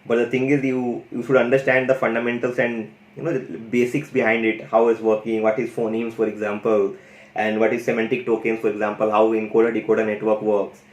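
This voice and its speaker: A man's voice: fairly low pitch, level tone, fairly quick. Man explaining tech in a roomy echo. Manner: enthusiastic, loose, full of "you know" and "guys". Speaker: tech YouTuber demonstrating an AI audio tool. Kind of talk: casual screen-share tutorial.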